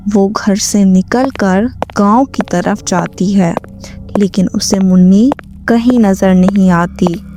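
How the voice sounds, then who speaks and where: A woman reads a scripted story as narrator. Her pace is very unhurried and her pitch is mid-range. Music plays behind the voice throughout. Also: background noise.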